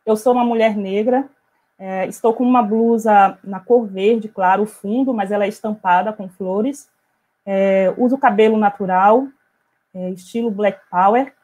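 A middle-aged woman from Bahia, giving an academic talk over a video call on an earphone headset, measured and full of hesitations. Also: medium pitch, steady tone, warm tone, highs cut off. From Bahia, Brazil. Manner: measured, many hesitation fillers. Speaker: invited speaker, author. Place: small room at home, indoors.

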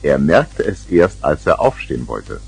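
A man's voice: rumbling low, big pitch swings, measured pace, vocal fry, over loud music. A man narrating a scripted children's story.

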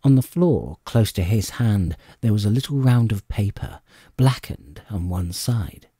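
A man narrating a classic adventure audiobook in an expressive, suspenseful storytelling manner. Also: fairly low pitch, a little slow, husky, no echo, clean studio sound. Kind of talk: dramatic scripted storybook narration.

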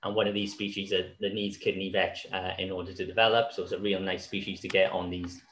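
A man in his thirties speaks over a video call, his voice very low and a little hoarse. He talks in a loose, relaxed way with many fillers, as if presenting slides. The room around him sounds echoey. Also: quick pace, a little hiss or hum. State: slightly hoarse. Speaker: conservation speaker. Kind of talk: online conference talk with slides. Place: small room at home.

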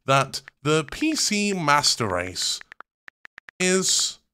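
A man in his twenties chatting casually and excitably to livestream viewers in slangy speech, close on a desk mic. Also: a little high, extremely slow, a little hiss or hum. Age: 20s. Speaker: livestream host. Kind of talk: casual reaction chat to stream viewers. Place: small decorated room at home. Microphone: close desk microphone on a boom arm.

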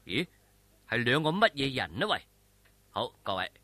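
A man's voice: medium pitch, sing-song, normal speed, bright, forceful tone, no echo. A man with a Hong Kong Cantonese accent telling a martial arts story in a theatrical, colloquial, suspenseful style.

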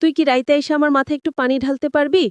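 Lady doing a sweet-talking sister in law, bengali village talk, coaxing, high voice, story. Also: steady tone, quick pace, dry recording, natural voice.

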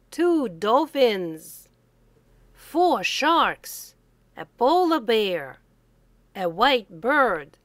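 A woman reading a picture book aloud to young children, slow and clear with sing-song repetition. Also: fairly high pitch, a bit bright, no room sound.

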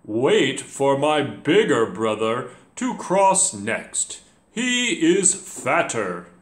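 A man's voice: mid-range voice, big pitch swings, very slow, reverberant. A man voicing a middle-sized billy goat brother with a round voice, pleading in a storybook tale.

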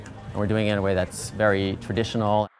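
Earnest rabbi speaking over soft music. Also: male voice, lowish voice, flat delivery, fairly quick. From United States, East Coast. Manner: earnest, reflective, conversational. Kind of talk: documentary interview. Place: outdoors on a shaded porch.

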